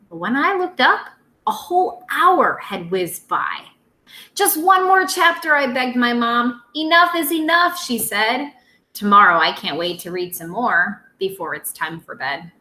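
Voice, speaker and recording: A woman teacher reading a picture book aloud to young children in an expressive, simple way. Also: mid-range voice, reverberant.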